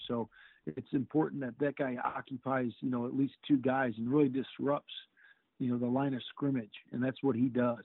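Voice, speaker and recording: A middle-aged man, a football defensive coordinator, answering interview questions in a halting way and often repeating words. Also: medium pitch, dry recording. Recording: tinny phone line.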